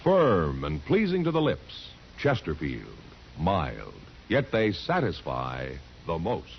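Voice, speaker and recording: A man's voice: deep voice, huge pitch swings, slow pace, very noisy. An American man announcing in a scripted 1950s radio style for program credits and sponsor ads. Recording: dull vintage radio recording. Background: loud music.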